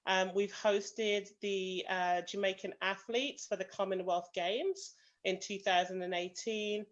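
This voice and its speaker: A woman's voice: medium pitch, fairly even intonation, a little slow, lo-fi sound. A woman with a British accent, a panel guest on a video call, giving a prepared talk with many "um" fillers and hedges like "kind of". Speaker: association president, panel guest.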